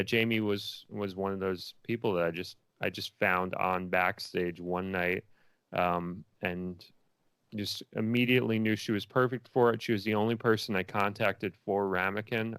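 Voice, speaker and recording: A man's voice: deep voice, fairly bright tone, smooth, dry recording. A man speaking casually as an interview guest, a filmmaker who often repeats phrases as he builds his thoughts.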